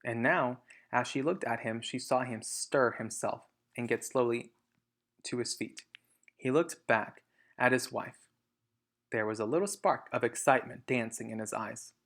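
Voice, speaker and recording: A man's voice: mid-range voice, unhurried, fairly bright tone. A man reading a children's storybook aloud, narrating and explaining to young listeners. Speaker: storybook reader.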